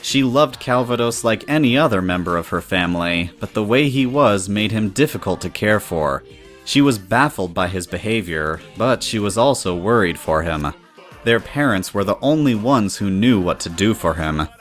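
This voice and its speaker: A man's voice: fairly low pitch, lively intonation, normal speed, a bit bright, faint music behind. A man reading scripted story narration in the third person, as the narrator of an audio drama.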